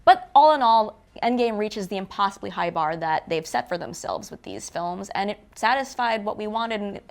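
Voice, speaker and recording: A woman co-hosting a film review in casual chat, expressive and playful. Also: mid-range voice, moderate pace. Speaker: co-host of a film review show.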